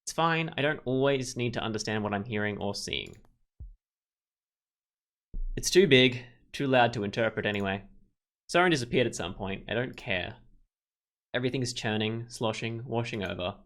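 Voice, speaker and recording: A man's voice: medium pitch, expressive, moderate pace, long pauses, fairly bright tone. A man reading a visual novel aloud as a let's player, mixing narration with casual, rambling commentary. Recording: music behind the voice. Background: game music.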